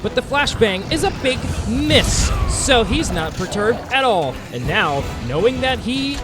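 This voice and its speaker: A man's voice: extremely high pitch, sing-song, a little slow. A man narrating a video game hunt as a dramatic, roleplayed story with playful, wry asides, like a scripted storyteller. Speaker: gameplay narrator. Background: music.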